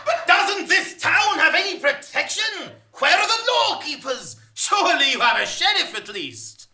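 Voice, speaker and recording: A woman's voice: extremely high pitch, sing-song, a little slow, exaggerated voice. A woman playing a bumbling, bashful cowboy drifter with stammering bravado in a comic space western radio play. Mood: nervous, evasive. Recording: faint noise, live theatre performance.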